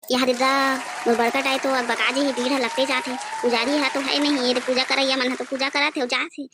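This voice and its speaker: Cartoon village lad played by a voice actor, high voice, Chhattisgarhi accent, cheeky grumbler, some background noise. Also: flat delivery, brisk, crowd noise. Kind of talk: comedy cartoon dialogue.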